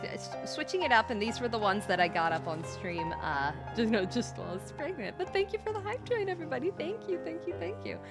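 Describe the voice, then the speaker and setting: A woman hosting a livestream, speaking in a casual, rambling way as if thinking aloud. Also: medium pitch. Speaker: livestream host, VTuber. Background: background music.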